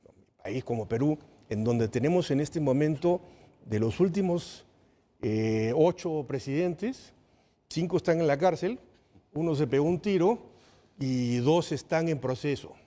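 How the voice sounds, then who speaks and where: A man's voice: on the high side, expressive, unhurried, dark tone, long reverb tail. A man from Peru with a Peruvian accent, a lawyer guest explaining corruption and compliance on a panel show. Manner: storytelling, explanatory. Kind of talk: panel discussion.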